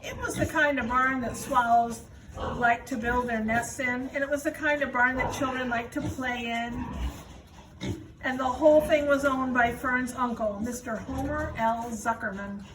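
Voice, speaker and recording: A woman's voice: a little high, fairly even intonation, normal speed. A woman reading a children's story aloud as narrator. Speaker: storybook reader, school staff. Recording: noisy room, distant microphone.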